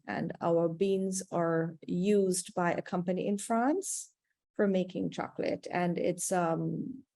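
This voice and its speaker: Measured woman, dry close sound. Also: on the low side, warm tone, no echo. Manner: measured and diplomatic, with frequent hesitations. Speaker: cocoa estate representative and third-generation cocoa farmer. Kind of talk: webinar Q&A answer. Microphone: video call.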